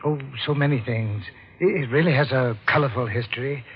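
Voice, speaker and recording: Guy doing a weird devoted butler, reverent n polite, medium pitch, old radio drama w faint noise. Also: brisk, lightly acted. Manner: deferential, reverent. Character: odd, devoted butler, quietly obsessed with a painting. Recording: dull, faintly noisy old radio recording.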